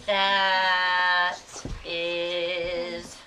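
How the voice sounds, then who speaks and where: Bright, slow-speaking woman teaching a class. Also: fairly low pitch, completely flat delivery. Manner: slow, deliberate repetition with encouraging endearments. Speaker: elementary school teacher. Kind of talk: classroom instruction, questioning pupils and repeating their answers. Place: classroom with children. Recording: distant mic, clearly audible room noise.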